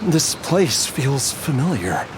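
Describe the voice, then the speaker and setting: A man playing a troubled, hesitant young man in a video game, speaking in a halting way. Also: medium pitch, sing-song, heavy background noise. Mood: uneasy, remembering. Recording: game music behind the voice. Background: game music.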